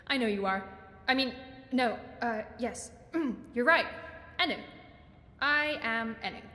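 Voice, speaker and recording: Bookish fantasy-game heroine, young, high-pitched, awkward, eager, gushing, self-correcting, roomy echo. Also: female voice, slow, sharp tone. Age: young adult. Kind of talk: video game character acting. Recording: echoey hall, faint background noise.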